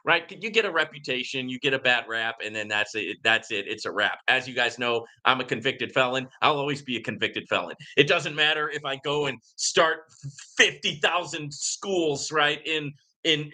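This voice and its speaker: Bright, forceful man livestreaming. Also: fairly high pitch. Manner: outspoken, emphatic, repeatedly seeking agreement with "right?". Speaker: livestream host answering viewer comments. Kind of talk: casual live Q and A monologue.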